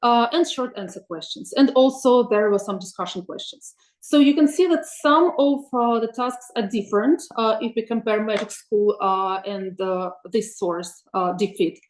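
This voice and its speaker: A woman's voice: on the high side, normal speed. Woman, webinar presenter, hesitant and friendly delivery, roomy sound. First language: Russian. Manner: thoughtful, many ums and uhs, asks for next slide. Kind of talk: presenting slides in an online webinar.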